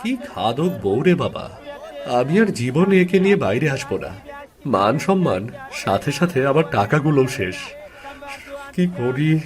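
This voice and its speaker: A man's voice: medium pitch, sing-song, a little slow, pauses often. A man voicing a mild, long-suffering village family man in a cartoon, with a folksy manner. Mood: dismayed. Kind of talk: cartoon story voice acting.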